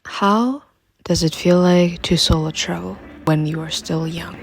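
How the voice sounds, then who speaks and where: A young woman from Germany narrating a reflective voice-over about her travels in a calm, even way. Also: fairly low pitch, unhurried. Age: young adult. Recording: voice-over with loud background music.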